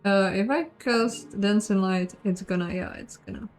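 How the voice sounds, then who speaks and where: A woman's voice: medium pitch, fairly even intonation, moderate pace. A woman giving casual, chatty let's play commentary, thinking aloud in a self-deprecating way. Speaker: host of a game playthrough, voicing the dialogue. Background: game music.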